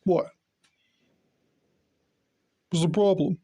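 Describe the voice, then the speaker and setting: Man as casual serial killer, comedic skit, defensive, halting, offhand, mellow, slightly high voice. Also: steady tone, breathy edge, heavily acted. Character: casual, self-justifying male serial killer. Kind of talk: comedic conversational monologue.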